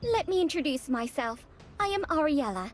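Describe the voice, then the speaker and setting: An actress playing an eager, curious researcher mage in a fantasy video game, expressive and inquisitive. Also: female voice, high-pitched, normal speed. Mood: earnest.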